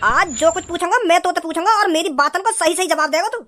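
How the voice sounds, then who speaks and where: A man voicing an angry, stern father in an amateur comedy cartoon, indignant and scolding, with a pitched-up voice. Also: fast, very noisy.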